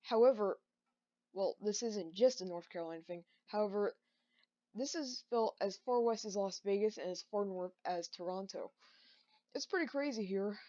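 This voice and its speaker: Girl doing a lady tv news reporter in a disaster, bit halting and informal, muffled lo-fi audio. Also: mid-range voice, warm tone, lightly acted. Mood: urgent reporting. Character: female local TV news reporter covering a disaster. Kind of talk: amateur mock live news report.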